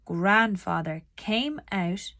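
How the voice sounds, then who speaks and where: Slow-paced woman reading a children's story. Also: mid-range voice, no room sound. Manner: deliberate, expressive storytelling. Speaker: read-aloud narrator. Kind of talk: children's storybook read-aloud.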